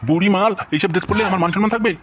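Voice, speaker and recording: A man's voice: sits high, rapid-fire, heavy background noise, lightly acted. A man voicing an old husband in a comic cartoon, whiny and wheedling. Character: old husband, pompous yet pleading. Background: background music.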